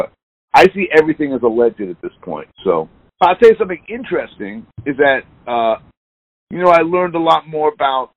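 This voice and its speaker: A man's voice: mid-range voice, no echo. A man in his sixties, a musician being interviewed, talking in a loose, candid, rambling way. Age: 60s. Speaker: musician guest. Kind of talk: casual interview chat. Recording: dull lo-fi line.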